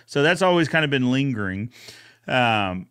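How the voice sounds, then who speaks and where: A man's voice: mid-range voice, very animated, normal speed, a bit bright, smooth. A man co-hosting a casual recap, retelling a story in an informal, conversational way. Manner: informal, retells characters' dialogue. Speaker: co-host recapping episode.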